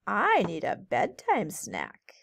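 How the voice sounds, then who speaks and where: A woman's voice: medium pitch, huge pitch swings, slow pace, creaky, fairly bright tone, heavily acted. A woman voicing a plump, hungry female spider, coaxing and sly, in a children's storybook. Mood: matter-of-fact. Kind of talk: children's storybook read-aloud.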